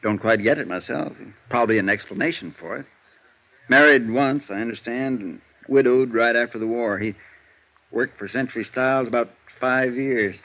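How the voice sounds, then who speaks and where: An American actor playing a tired, amiable middle-aged lawyer with a rambling, folksy manner in a vintage radio drama. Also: male voice, medium pitch, moderate pace, close to an everyday voice. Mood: easygoing, musing. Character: tired, seedy, affable male lawyer about forty. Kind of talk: 1950s radio acting. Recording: muffled vintage radio recording.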